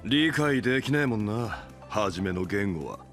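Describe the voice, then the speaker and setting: A male actor playing an arrogant, gruff young idol in an audio drama, curt, rough and mocking. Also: on the low side, lively intonation, a little slow, a bit bright, soft background music. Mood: scornful.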